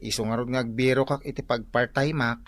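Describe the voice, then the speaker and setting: A man's voice: mid-range voice, steady tone, brisk, no room sound, close to an everyday voice. A man playing an eager, earnest young student handyman with an Ilocano Filipino accent in a comedy radio drama.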